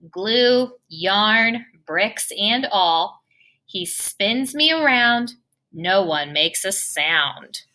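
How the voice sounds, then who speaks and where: A woman reads a children's picture book aloud with a bright, clear voice. Her pitch leaps and swoops, and she takes her time, drawing out the playful sound words. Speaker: storybook reader.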